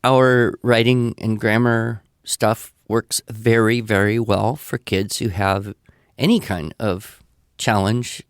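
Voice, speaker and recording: A man speaking conversationally as a podcast expert, explaining advice in a measured way with emphatic repetition. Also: on the low side, gravelly, no echo, clean studio sound. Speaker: podcast expert answering listener questions.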